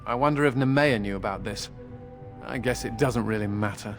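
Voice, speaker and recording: An actor playing a flippant, rebellious young mage in a fantasy game, with a wry, informal and expressive delivery. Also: male voice, on the low side, fairly quick, faint music behind, lightly acted. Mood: wry, grateful. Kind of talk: fantasy game dialogue acting.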